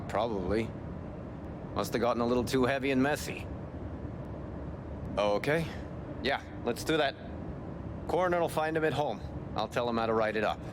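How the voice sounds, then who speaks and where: A man's voice: medium pitch, lightly acted. Man acting a blunt, callous fixer. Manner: blunt, offhand, casual. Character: callous, matter-of-fact male fixer arranging a cover-up by phone. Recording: clear background noise.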